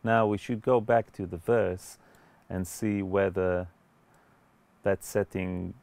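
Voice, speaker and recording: A man in his forties giving an explanatory tutorial as a music producer, in a measured, hesitant way. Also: sits low, steady tone, gravelly, darkish tone, no echo. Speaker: music producer and mixing instructor. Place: indoor recording studio.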